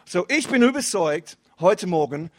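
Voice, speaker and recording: Young adult male guest pastor, non-native speaker with a foreign accent, high voice, casual preaching style. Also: very animated, measured pace. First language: not German. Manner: casual, mixes English words, rising and falling emphasis. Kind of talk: sermon.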